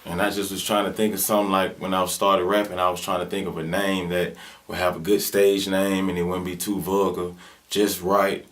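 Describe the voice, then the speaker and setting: A man's voice: deep voice, level tone, fairly quick, fairly bright tone. A man in his twenties with a Southern US accent, a rapper answering interview questions in laid-back, slangy talk full of fillers. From Birmingham, Alabama. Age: 20s.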